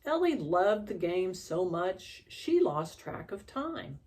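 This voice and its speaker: A woman reading a children's storybook aloud as an expressive storyteller. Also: lowish voice, slow pace.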